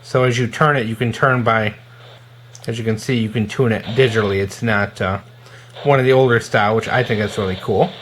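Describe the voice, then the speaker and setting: A man giving a casual, hands-on product demonstration in an informal, halting way as a gear reviewer. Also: lowish voice, steady tone, a little hiss or hum.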